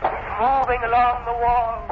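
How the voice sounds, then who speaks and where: An actor playing a crazed, gloating villainous professor bent on revenge, theatrical and menacing, in an old-time radio thriller. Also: male voice, extremely high pitch, monotone, normal speed. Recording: noisy muffled old radio broadcast.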